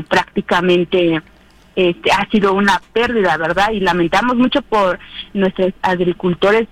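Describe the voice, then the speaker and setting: A woman's voice: mid-range voice, no echo, low-quality recording. A woman from Oaxaca, Mexico, whose first language is Triqui, gives a friendly regional report on the radio with many hesitation fillers. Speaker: regional correspondent.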